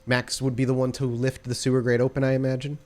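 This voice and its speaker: A man's voice: mid-range voice, completely flat delivery, quick pace, darkish tone. A man narrating an improvised tabletop game as game master, descriptive and conversational.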